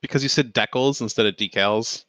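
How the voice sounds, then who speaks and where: A man's voice: medium pitch, brisk, fairly bright tone, no room sound. A man chatting on a group voice call, nerdy and explanatory, pointing out details and errors. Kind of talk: casual group chat over a voice call. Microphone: home voice-call mic. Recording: muffled voice-chat audio.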